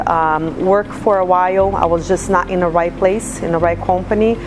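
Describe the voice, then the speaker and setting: A woman from Brazil telling her career story in an earnest, measured way. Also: lowish voice, noisy background. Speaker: insurance agent. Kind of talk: testimonial. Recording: background music.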